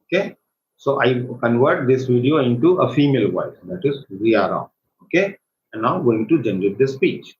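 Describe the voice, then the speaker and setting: A man with an Indian accent giving a live step-by-step software tutorial as an instructor, haltingly, often checking for agreement with short tag questions. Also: mid-range voice, lively intonation. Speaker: workshop instructor. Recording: echoey room, dull lo-fi sound, faint noise.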